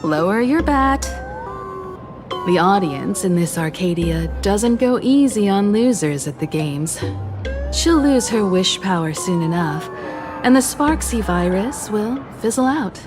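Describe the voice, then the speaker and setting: A woman playing a composed, confident female mentor in a video game, speaking in a measured, knowing and gently teasing manner. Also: medium pitch, lively intonation, over music, natural voice. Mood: teasing, assured. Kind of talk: video game character acting.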